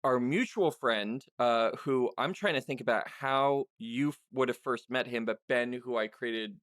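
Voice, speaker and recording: A man hosting a podcast in a casual, chatty style, speaking in a halting way with frequent restarts. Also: mid-range voice, a bit bright, no room sound, clean studio sound. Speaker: podcast host and interviewer. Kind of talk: casual interview chat.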